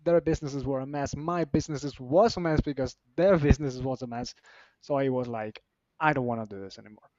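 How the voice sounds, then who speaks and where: A man, earnest and halting, often restarting his sentences. His voice sits at an ordinary pitch and sounds slightly dull and lo-fi. The room is dry, with no echo. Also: a little mellow. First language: Spanish. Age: 30s. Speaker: team member sharing his story. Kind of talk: personal story on a live stream.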